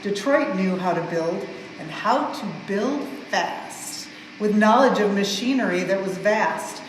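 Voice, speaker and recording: A woman reads a storybook aloud for children with expressive, measured emphasis on the rhymes. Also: medium pitch. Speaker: museum staff member reading a story aloud. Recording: very echoey large hall, some noise.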